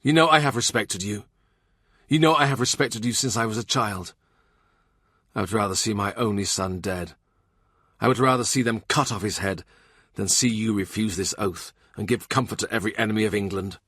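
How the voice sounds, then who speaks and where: Man doing an angry minister, loud and blunt, low voice pushed up, dry read. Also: normal speed, breathy edge, no room sound. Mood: heated. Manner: forceful, heated, blunt. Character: forceful male royal minister, blunt and angry.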